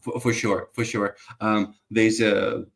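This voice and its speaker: A man's voice: fairly low pitch, little pitch movement, takes it slowly, creaky. A man from Bulgaria, a guest entrepreneur, talking in a reflective and earnest way, with frequent restarts. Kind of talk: casual conversational interview.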